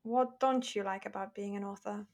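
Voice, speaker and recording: A woman hosting a podcast and asking a guest questions in a relaxed, friendly way. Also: medium pitch, moderate pace. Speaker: podcast host. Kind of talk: casual interview.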